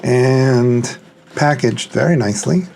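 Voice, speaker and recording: A man's voice: medium pitch, slow pace, vocal fry, a little mellow, slight background noise. A man thinking aloud in a casual, unscripted, tentative way as he reviews a product. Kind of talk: casual unscripted unboxing commentary.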